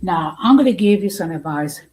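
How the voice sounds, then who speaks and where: Woman host just talking opinions, low-ish mellow voice, takes it real slow, repeats herself for emphasis. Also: animated. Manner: halting, deliberate, emphatic, repeats phrases. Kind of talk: informal opinion talk to an audience.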